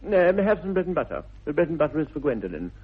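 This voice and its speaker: Witty young upper-class English bachelor, husky, arch, languid, Victorian radio comedy, narrow tinny old recording. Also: male voice, fairly high pitch, big pitch swings, rapid-fire, exaggerated voice. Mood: mock indignant. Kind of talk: 1960s British radio comedy acting. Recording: thin, band-limited old radio recording.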